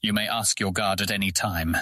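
Stern fantasy audiobook prison guard: low, hard-edged voice, formal and commanding, close dry sound. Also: male voice, steady tone, fairly quick, bright, forceful tone, dry recording. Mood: grave, commanding. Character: stern armed prison guard, grave, not unkind.

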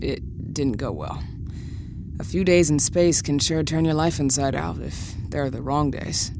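A woman's voice: low-pitched, unhurried, creaky, background noise. A woman playing a defiant, suspicious space mission commander in a science-fiction audio drama, terse and insistent. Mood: resigned.

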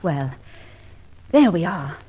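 A woman's voice: deep voice, big pitch swings, slow pace, breathy edge, highs cut off, heavily acted. A woman playing a kind, eager, impulsive young lady, earnest and insistent, in a radio drama. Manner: earnest, insistent, well-spoken. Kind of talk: old-time radio drama acting.

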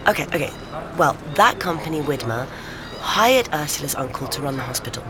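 An eager, theorizing woman sleuth in an audio drama. She talks in a natural, conversational way, animated and insistent. Clear ambient noise runs behind her voice. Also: deep voice, brisk. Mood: intrigued.

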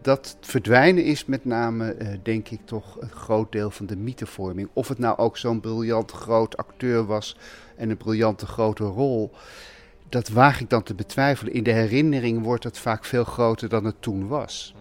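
Low-voiced, measured male critic. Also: fairly even intonation. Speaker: theatre critic. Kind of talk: analytical interview talk.

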